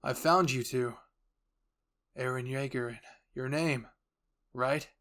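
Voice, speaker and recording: A man playing a menacing, self-assured male enemy who talks deliberately, with condescending sympathy, in a roleplay story. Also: mid-range voice, fairly bright tone. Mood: coolly taunting.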